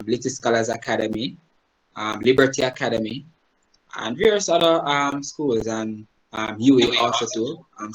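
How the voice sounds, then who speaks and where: A man's voice: mid-range voice, fairly bright tone, rough recording. Jamaican guy giving a talk over a video call, explains stuff, keeps asking right?. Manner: explanatory, asks the audience "right?" often. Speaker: guest presenter, Chinese teacher. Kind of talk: online presentation to a group.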